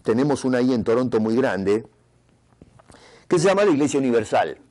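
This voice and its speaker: A man's voice: medium pitch, animated, brisk, dark tone. A man with an Argentine Spanish accent preaching a sermon as pastor, earnest, asking the congregation rhetorical questions. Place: church retreat in Canada.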